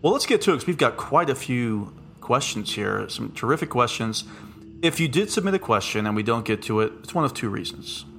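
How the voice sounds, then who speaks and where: A man with a deep voice hosting a casual podcast chat, joking and rambling off into asides. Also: expressive, fairly quick, vocal fry, a bit bright, a little hiss or hum. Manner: jokey, rambling, with frequent asides. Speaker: podcast host.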